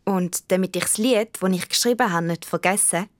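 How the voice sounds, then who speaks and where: A woman's voice: medium pitch, normal speed, dry recording, natural voice. An earnest young girl played by a woman narrates her own story in a children's radio play. She has a Swiss German accent and a mid-range voice, recorded close and dry. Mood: earnest, matter-of-fact. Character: earnest young girl who loves the forest.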